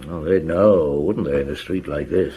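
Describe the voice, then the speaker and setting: An actor playing a seasoned, middle-aged police chief in a radio drama, musing unhurriedly and asking terse, wry questions. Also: male voice, extremely low pitch, moderate pace, dark tone. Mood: thoughtful. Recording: clear background noise.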